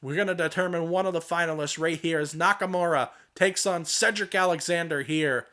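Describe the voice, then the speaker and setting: A young man with a hoarse voice from a cold, commentating a wrestling video game play-by-play and rising to shouts on big moves. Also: a little high, fairly quick. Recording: home recording with clear background noise.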